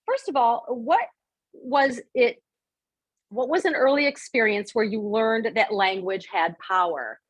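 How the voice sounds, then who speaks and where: A woman's voice: a little high, unhurried. A middle-aged American woman hosting an interview in a casual, chatty style. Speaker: interview host.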